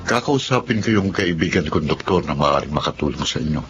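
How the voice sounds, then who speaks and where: Deep-voiced, supportive male friend. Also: lively intonation, brisk, fairly bright tone. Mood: concerned, supportive. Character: calm, supportive male friend giving advice. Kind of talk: Tagalog radio drama acting. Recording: dull lo-fi sound, faint music.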